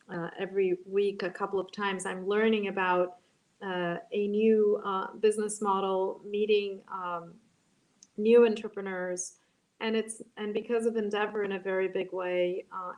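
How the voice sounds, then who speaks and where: A woman, moderating an online panel and talking in a halting way, full of ums and restarts. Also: medium pitch, rough recording. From Brazil.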